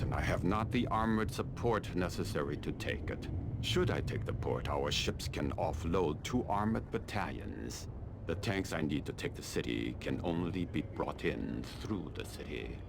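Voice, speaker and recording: Very deep-voiced, stern army colonel. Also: male voice, gravelly, noisy background, heavily acted. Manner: formal, deliberate, haughty. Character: stern, calculating Chinese army colonel commanding mercenaries. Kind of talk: scripted video game dialogue.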